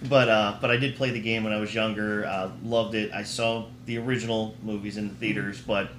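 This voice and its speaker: A man's voice: lowish voice, little pitch movement, a little fast, fairly bright tone. A man hosting a podcast in a casual, chatty style. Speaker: podcast host. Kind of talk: casual chat. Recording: roomy echo, faint background noise.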